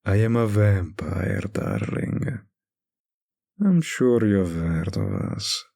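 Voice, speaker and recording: An ancient male vampire tending bar, played by a man in an intimate audio roleplay. His voice is low and husky, and he drawls extremely slowly. He teases the listener with a smug, predatory charm. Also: a little mellow, full character voice. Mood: seductive, playful. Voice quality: breathy, gravelly low voice. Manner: slow, teasing drawl with long pauses. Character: centuries-old male vampire bartender, teasing, predatory, smugly charming.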